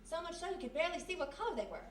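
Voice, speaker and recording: A woman playing a plucky, quick-witted young detective's sidekick, brisk and spirited, in a live radio mystery. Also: very high-pitched, sing-song, distant mic. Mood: earnest, insistent. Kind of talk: live old-time radio mystery acting. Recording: roomy live stage, faint background noise.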